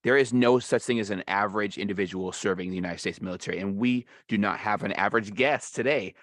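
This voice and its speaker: A man's voice: lowish voice, quick pace, a bit bright, no echo, clean studio sound. A man hosting a podcast, reading an upbeat intro and ad before interviewing his guest with emphatic presenter energy. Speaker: podcast host.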